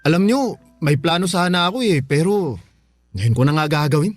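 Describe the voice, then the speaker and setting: A man's voice: a little high, expressive, normal speed, no room sound, clean studio sound, soft background music. An actor playing a polite, bashful young man who proposes in a radio drama.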